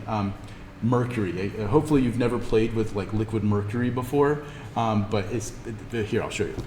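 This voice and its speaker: A man teaching an informal in-class software tutorial, thinking aloud haltingly as he demonstrates. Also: fairly low pitch. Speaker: instructor teaching 3D animation software. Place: classroom, indoors. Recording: echoey room with background noise.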